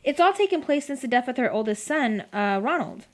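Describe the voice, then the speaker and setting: A woman's voice: high-pitched, lively intonation, a little fast. An actress playing a formal, guarded woman answering a household telephone in a radio drama, polite and matter-of-fact. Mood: grave.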